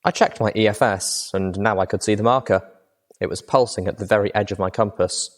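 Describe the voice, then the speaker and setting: Low-voiced guy reading a fanfic audiobook, calm steady pace. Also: fairly quick. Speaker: audiobook reader. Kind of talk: fan fiction reading, first-person narration.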